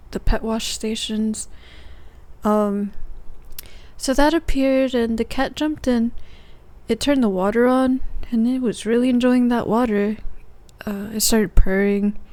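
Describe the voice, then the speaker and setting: Soft spoken woman podcaster, mid pitch voice, just chatting casually about her dreams. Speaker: podcast host. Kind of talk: casual monologue recounting dreams.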